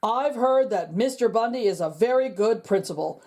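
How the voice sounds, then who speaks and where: A woman voicing a small, earnest frog who serves as a school principal, speaking slowly and formally in a croaky voice. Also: a little high, expressive, dark tone. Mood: polite. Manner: slow, formal and courteous. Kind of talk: storybook character voice.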